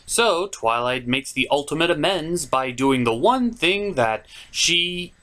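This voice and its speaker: Animated man narrating a comedic review. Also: fairly high pitch, slow pace. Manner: theatrical, sarcastic asides. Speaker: cartoon reviewer, show host.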